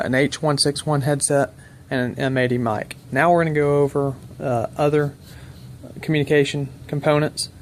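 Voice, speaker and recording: A man's voice: mid-range voice, a little hiss or hum. A man giving an unscripted, methodical technical walkthrough in a matter-of-fact, precise way, as a presenter demonstrating equipment.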